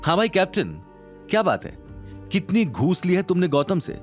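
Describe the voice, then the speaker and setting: A man's voice: sits high, lively intonation, moderate pace, soft background music, pitched above the performer's own voice. A man voicing arrogant, indignant middle-aged women in an audio drama, in a haughty, accusing, whining manner. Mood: sarcastic, accusing.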